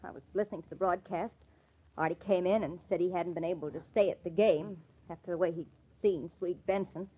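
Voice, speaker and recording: A woman's voice: fairly low pitch, steady tone, brisk, close to an everyday voice. A woman acting a defensive, jittery suspect in an old-time radio crime drama, protesting as she talks. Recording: dull vintage radio recording.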